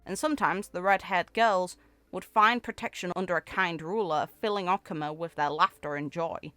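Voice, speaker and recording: A woman's voice: on the low side, moderate pace, fairly bright tone, no echo. A woman gaming creator reading story text aloud expressively, with casual commentary. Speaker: gaming video creator reading game character lore aloud. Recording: music playing under the voice. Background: background music.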